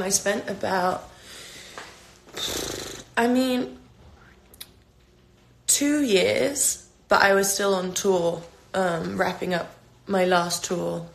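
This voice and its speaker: A woman's voice: mid-range voice, steady tone, takes it slowly, creaky, pauses often, slight background noise. A woman in her twenties with a London accent, casually answering fan questions on a phone livestream, rambling with lots of fillers and restarts. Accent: London English. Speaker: singer answering fans' questions. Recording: echoey room on a phone livestream.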